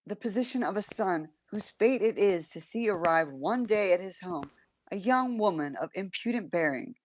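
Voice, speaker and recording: A woman's voice: lowish voice, no room sound, lightly acted. A woman playing an aloof, resentful young adult son in a stage play, with a formal, disdainful manner. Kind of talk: theatrical stage-play reading.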